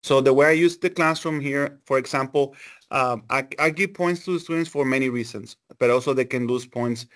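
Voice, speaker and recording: Middle-aged male teacher, informal screen-share demo over video call, mid-pitched voice, halting and repetitive, non-native English phrasing. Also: a bit bright. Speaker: elementary school teacher giving a training session. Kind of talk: informal software walkthrough.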